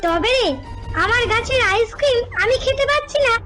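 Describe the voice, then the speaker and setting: A woman's voice: very high voice, expressive, normal speed. A woman voicing a cranky, possessive, scolding cartoon character. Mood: indignant. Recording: loud music behind the voice.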